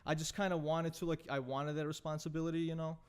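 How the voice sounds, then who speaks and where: A man in his late twenties, from Serbia, talks as a guest on a casual podcast. His voice sits a bit higher than most men's, and he rattles on at a very fast clip, stringing thoughts together with "like" and "dude.". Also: flat delivery, clear, resonant tone. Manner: rapid rambling with constant fillers like "like" and "dude". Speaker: podcast guest, photographer and content creator.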